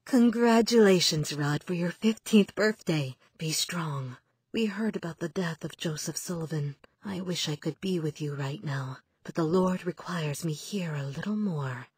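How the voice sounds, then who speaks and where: A devout nun reading letters to her son in a video game. Her voice is low and very breathy, tender yet solemn, and recorded close and dry. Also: a little slow, dry recording. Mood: tender, sorrowful. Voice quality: breathy, soft. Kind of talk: letters read aloud in game cutscene.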